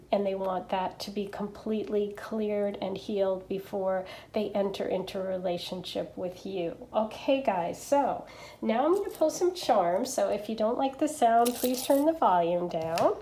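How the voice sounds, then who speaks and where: A woman with a stuffy nose from allergies, chatting gently through a tarot reading with lots of fillers and little checks. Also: mid-range voice, a little hiss or hum. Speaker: tarot reader. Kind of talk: casual pick-a-card tarot reading.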